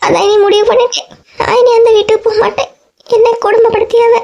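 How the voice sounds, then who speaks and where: A very high, whining voice of an aggrieved village mother-in-law acted out by a woman. She tells her grievances dramatically, as in a comic cartoon, with a Kanyakumari Tamil accent. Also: level tone, fast talker, vocal fry, mellow, dull audio. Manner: complaining, dramatic storytelling.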